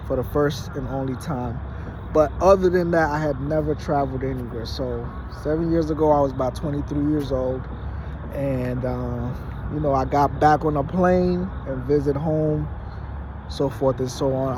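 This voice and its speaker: Man in his late twenties from Haiti, hesitant rambling vlog talk, phone mic, outdoor parking lot, clear car noise behind. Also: mid-range voice. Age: late 20s. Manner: rambling, hesitant, many fillers and restarts. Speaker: vlogger. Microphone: phone propped against a wall. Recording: noisy outdoor phone recording. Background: traffic and car noise.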